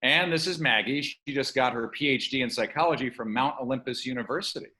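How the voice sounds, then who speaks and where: A man acting a genial, casually boastful boss god in a comedy table read, talking in a chummy, halting way. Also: mid-range voice, bright, forceful tone, natural voice. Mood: casual, apologetic.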